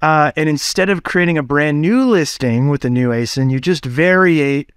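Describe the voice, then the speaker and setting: A man hosting a business podcast, talking in an energetic, chatty way with quick shifts and casual fillers. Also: a little high, clear, resonant tone, dry recording, studio quality. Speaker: podcast host.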